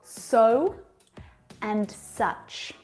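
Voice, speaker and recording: A woman with an Australian accent presenting a scripted grammar lesson in clear, measured sentences. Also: fairly high pitch, animated, a little mellow, faint music behind, low-quality recording.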